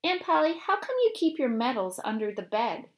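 A woman's voice: fairly high pitch, big pitch swings, normal speed, voice pushed up. A woman reading aloud in the voice of an earnest young girl.

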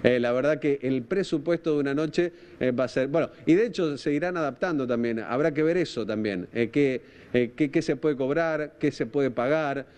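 A man in his thirties with a Rosario Argentine accent, reporting live on TV in an informal, halting way, repeating words as he thinks. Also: mid-range voice, a little mellow. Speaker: TV field reporter. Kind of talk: live unscripted news reporting. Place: outdoors at night by a park. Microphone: handheld microphone, through a face mask.

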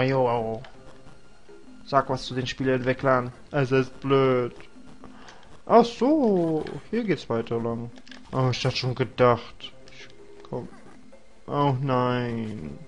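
A young man with a cold, casually rambling and joking to himself while commentating a video game. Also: mid-range voice. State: has a cold. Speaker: let's play host.

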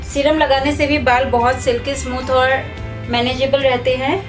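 Young woman gently explaining a hair routine. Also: sits high, normal speed, far from the mic, faint music behind. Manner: calm, instructive, steady. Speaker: beauty vlogger. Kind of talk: step-by-step tutorial. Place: small room indoors.

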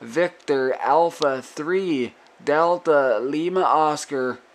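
A man's voice: on the high side, slow. A man working as an amateur radio operator, trading call signs and signal reports and repeating his phrases. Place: indoors at a radio desk. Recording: noisy room with radio static. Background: radio hiss and static.

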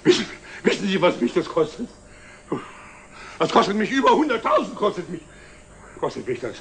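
An actor playing a genial middle-aged company director in an old television drama, prone to stammering when agitated. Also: male voice, sits high, very animated, moderate pace. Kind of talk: 1960s German television drama acting. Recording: noisy old 1960s television soundtrack.

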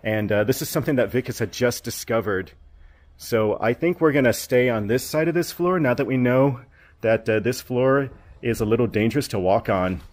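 A man's voice: mid-range voice, normal speed, darkish tone. Chatty man giving a fort walking tour. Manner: chatty, explains as he walks, laughs now and then. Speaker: travel vlogger. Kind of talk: travel narration and walking tour commentary. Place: outdoors at a ruined hilltop fort.

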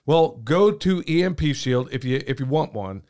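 A man, hosting a podcast. He sounds a bit hoarse and talks in a folksy, rambling way. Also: medium pitch, expressive, normal speed, darkish tone. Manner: folksy and talkative, says y'all, gestures a lot. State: hoarse, losing his voice. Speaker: podcast host. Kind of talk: casual podcast chat. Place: small podcast studio, indoors. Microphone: studio mic on boom arm, headphones on.

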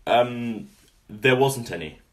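A man playing an inept, pompous, eager shopkeeper brother in a comedy radio play, dithering and excitable. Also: medium pitch, slow pace, background noise, natural voice. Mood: hesitant. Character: inept, pompous, eager upper-class brother running antique shop.

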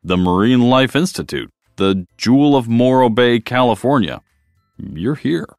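A man voicing a matter-of-fact, world-weary male octopus in a storybook, explaining things deliberately. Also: mid-range voice, animated, a little mellow, no room sound, clean studio sound, soft background music.

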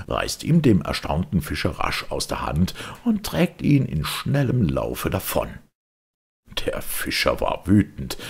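A man narrating a children's audiobook as an expressive storyteller. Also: very deep voice, creaky, slightly rough.

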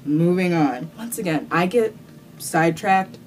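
Rambling young woman vlogger, low voice. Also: animated, unhurried, a little mellow, soft background music. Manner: chatty and rambling, curses casually, many fillers and restarts. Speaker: cosplay vlogger answering viewer questions. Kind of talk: casual Q&A to camera. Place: small indoor room, plain wall.

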